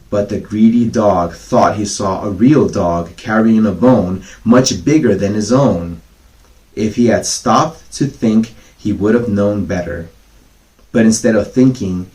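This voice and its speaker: Guy with a lowish voice reading kids bedtime stories, chats casually, gently explains the lesson. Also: rough recording. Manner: gently didactic, explains each moral. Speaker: storyteller reading children's bedtime fables. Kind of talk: storybook narration with casual asides to kids.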